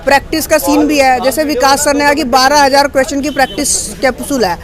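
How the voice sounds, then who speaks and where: A man's voice: very high-pitched, steady tone, a little fast. A man from Delhi, a civil services aspirant, speaking emphatically in a street interview and mixing English phrases into his talk. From Delhi, India. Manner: emphatic, talkative, mixes English phrases into Hindi. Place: busy city street outdoors. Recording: busy crowd noise outdoors.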